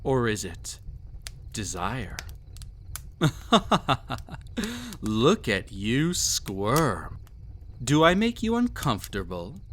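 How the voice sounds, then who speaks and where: A man's voice: mid-range voice, wildly swooping pitch, drawn-out words, pauses often, fairly bright tone, a little hiss or hum, exaggerated voice. A man playing a cruel, possessive, vain emperor toying with a captive in an intimate audio roleplay, with haughty, teasing, theatrical phrasing. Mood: menacing, teasing.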